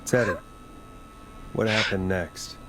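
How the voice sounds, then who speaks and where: An actor playing an earnest late-night radio DJ in a video game, questioning callers in a steady, probing manner. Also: male voice, fairly low pitch, slow, low-quality recording. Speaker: radio host.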